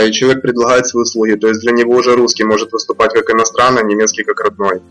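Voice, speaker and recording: A man, a freelance translator, answering podcast interview questions in a measured, explanatory way with fillers and restarts. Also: medium pitch, low-quality recording.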